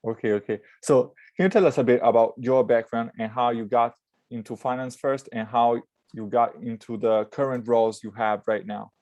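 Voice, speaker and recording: A man's voice: mid-range voice, steady tone, normal speed, a little mellow, dull audio. A man hosting an interview, asking brief, plain questions. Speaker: interview host. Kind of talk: casual interview.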